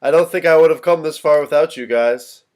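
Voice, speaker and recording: A man's voice: fairly high pitch, fairly quick, a little mellow, lightly acted. A man playing an easygoing, wry young adult son in a staged play reading, talking casually.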